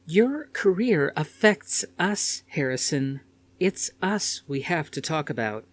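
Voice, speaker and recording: A man's voice: on the high side, very animated, slow pace, voice pushed up. A man voicing a spoiled, petulant young woman with a whiny, melodramatic delivery in an audiobook. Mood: angry, pleading. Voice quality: whiny, gasping.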